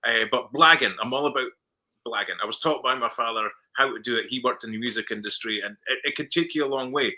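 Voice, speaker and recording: Scottish guy, super bright voice, chatty director telling stories in an interview, repeats himself a lot. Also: mid-range voice, quick pace. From Scotland. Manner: chatty storyteller who repeats words and acts out dialogue. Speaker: film director guest. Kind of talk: casual interview chat.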